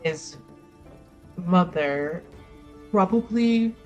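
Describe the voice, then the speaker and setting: Woman, slow hesitant delivery, improvised roleplay, casual asides, faint background music. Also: fairly low pitch, lively intonation. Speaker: tabletop roleplaying player.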